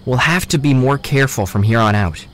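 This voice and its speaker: An actor playing a calm, protective young man in an audio drama, reassuring and matter-of-fact. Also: medium pitch, brisk, natural voice. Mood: cautioning.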